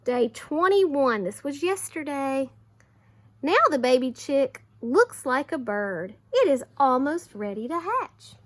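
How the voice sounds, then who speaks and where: A woman teacher reading aloud to young children, expressive, with simple explanations along the way. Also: sits high, slow pace.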